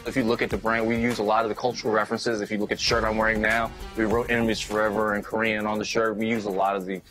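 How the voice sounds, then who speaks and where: A man from the United States, a clothing designer, talking in a casual, quick, conversational way in an interview. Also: on the low side, flat delivery. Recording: soft music underneath.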